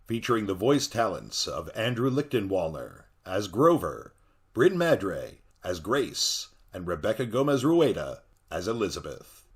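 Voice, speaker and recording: A man's voice: mid-range voice, husky. A man announcing in a scripted retro radio style, with a theatrical and ominous delivery. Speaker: podcast announcer.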